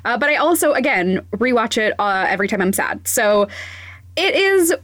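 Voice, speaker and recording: A woman's voice: a little high, sing-song, moderate pace, a bit bright, dry recording. A woman hosting a podcast segment, chatting enthusiastically and laughing as she gives a recommendation. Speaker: podcast host.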